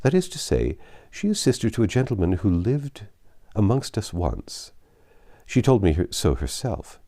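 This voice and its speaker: A man's voice: fairly low pitch, very animated, normal speed, dark tone, voice pushed up. A man voicing a chatty, flustered, deferential older lawyer who rambles eagerly in a classic novel reading. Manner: rambling, eager, self-interrupting.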